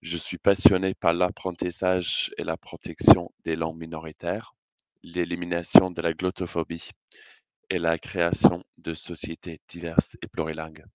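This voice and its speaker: A British man with a low, deep voice delivers a prepared presentation. His British accent shows, and his phrasing is measured and hesitant. The sound is dry and close, with little room around it. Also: little pitch movement, dry recording. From Britain. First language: English. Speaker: conference speaker.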